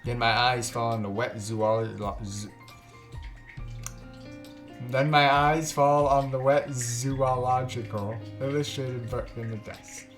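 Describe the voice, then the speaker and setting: A man's voice: medium pitch, level tone, takes it slowly. Slangy male livestreamer over music. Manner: slangy, joking, profane. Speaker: live streamer. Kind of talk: casual livestream chat with stumbling read-aloud of game text.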